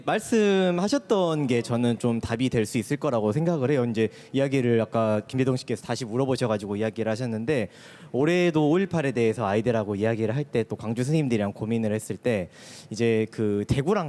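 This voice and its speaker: A male teacher speaks at a live talk concert in a Gwangju regional accent. His pitch sits in the middle, and he talks in an earnest, measured way. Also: a little mellow. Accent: Gwangju regional dialect. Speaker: schoolteacher guest. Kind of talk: stage talk. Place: stage before a live audience.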